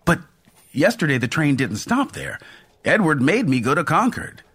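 A man's voice: fairly high pitch, very animated, normal speed, fairly bright tone, husky, voice pushed up, exaggerated voice. A man voicing a flustered middle-aged male engineer who speaks hesitantly and stammers. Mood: unsettled.

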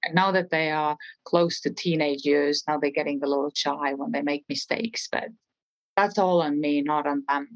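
A woman in her forties with an Estonian accent and a low voice talks as a podcast guest. She answers earnestly and thoughtfully, and she often restarts her sentences as she goes. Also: fairly even intonation, moderate pace, dull audio. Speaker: guest, real estate trainer. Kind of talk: podcast interview conversation.

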